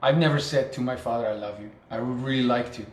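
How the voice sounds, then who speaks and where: Dutch guy, quiet thoughtful talk, echoey room. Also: medium pitch, fast talker. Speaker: podcast co-host. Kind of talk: reflective conversational talk. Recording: echoey room with faint noise.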